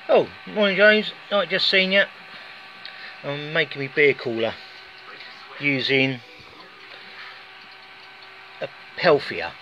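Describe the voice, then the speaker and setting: Chatty British man on lo-fi phone audio. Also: medium pitch. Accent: British English. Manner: chatty and rambling, with folksy asides. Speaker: hobbyist vlogger. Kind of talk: casual DIY demonstration. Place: indoors, garage or workshop floor. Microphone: handheld phone filming. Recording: dull lo-fi phone audio with clear background noise.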